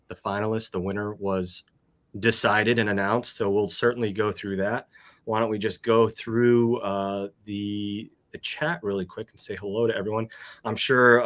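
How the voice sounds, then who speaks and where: A man's voice: lowish voice, pushed and bright. A man hosting a casual livestream over a video call, friendly and rambling as he greets guests and reads out names. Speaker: host.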